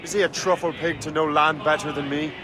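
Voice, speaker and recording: Guy playing cocky impatient young assassin captain, game acting, slightly high voice, sardonic, windy noisy background. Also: level tone, fairly quick, lightly acted. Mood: indignant. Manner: defiant, sardonic, commanding at sea. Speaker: video game protagonist. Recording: wind and sea noise behind.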